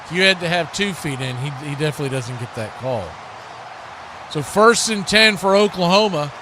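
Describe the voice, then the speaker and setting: High-pitched American man calling football, noisy background. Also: fairly quick. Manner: clipped, present-tense calls mixed with chatty asides. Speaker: play-by-play sports commentator. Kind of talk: live American football play-by-play commentary. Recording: clear steady background noise.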